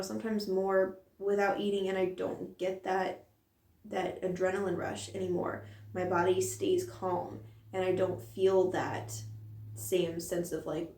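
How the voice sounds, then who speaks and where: A woman's voice: medium pitch, gravelly, a little mellow, far from the mic. A mother vlogging in an earnest, rambling way, with a strained voice from a sore throat. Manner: rambling and earnest, many fillers. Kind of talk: candid personal vlog monologue. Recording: roomy echo, voice set back from mic.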